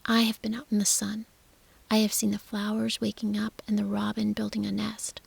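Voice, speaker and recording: A woman's voice: medium pitch, monotone, moderate pace, creaky, breathy edge, dry recording, exaggerated voice. A woman voicing a stubborn, blunt young girl who scolds in a clipped whisper. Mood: scolding.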